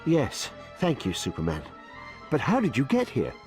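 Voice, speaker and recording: A man's voice: mid-range voice, huge pitch swings, moderate pace, darkish tone, soft background music. An actor playing a polite, fussy male laboratory scientist in a video game cutscene. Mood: grateful, helpful.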